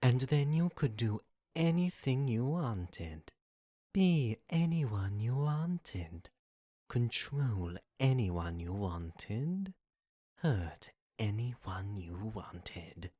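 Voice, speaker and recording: Woman voicing a very deep, slow, tempting devil. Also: sing-song, warm tone, husky, heavily acted. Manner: drawn-out, commanding, tempting delivery. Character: seductive, taunting devil granting dark bargains. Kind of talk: theatrical audio drama acting. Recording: hum and noise, home recording.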